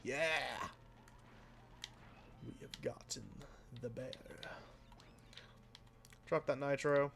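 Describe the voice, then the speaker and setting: A man talks over his racing gameplay in a loose, rambling way, poking fun at himself and letting sentences trail off. His voice sits at a middle pitch and comes out flat and a little nasal. Faint background noise sits under the recording. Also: drawn-out words, creaky, long pauses. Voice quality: sounds flat and a bit nasal, by his own account. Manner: rambling, self-deprecating, drifts into mumbles. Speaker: let's play host. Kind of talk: casual gameplay commentary.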